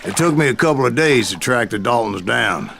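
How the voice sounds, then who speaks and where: A gruff old gunslinger of the American West, recounting his exploits like a practiced yarn-spinner. The voice sits at middle pitch, folksy and drawling, with loud game music behind it. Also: lively intonation, fairly quick. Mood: wry storytelling. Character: gruff old male Wild West gunslinger telling tall tales. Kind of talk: scripted first-person storytelling narration.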